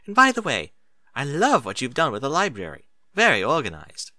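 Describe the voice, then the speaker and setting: Man putting on a refined, high-pitched lady pony. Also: huge pitch swings, normal speed, sharp tone, dry recording, heavily acted. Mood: gracious. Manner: genteel, flowery phrasing. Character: refined, dramatic lady unicorn pony, courteous and theatrical.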